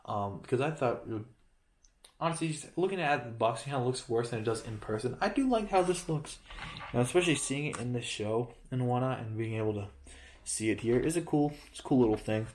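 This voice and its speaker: A man's voice: mid-range voice, lively intonation, fairly quick, slight background noise. A man casually reviewing a toy building set he holds up to a camera, rambling, correcting himself and joking as he goes. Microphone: handheld camera close-up of toy.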